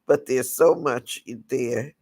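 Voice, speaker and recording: A raspy-voiced man from the United States, hosting a casual livestream talk and speaking in a halting way with many restarts. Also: medium pitch, a little mellow, dry recording. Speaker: channel host.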